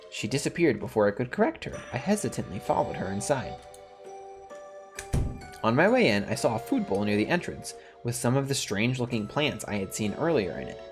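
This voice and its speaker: A man hosting a gaming video, reading a visual novel's narration aloud and dropping in casual asides. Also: mid-range voice. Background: faint music.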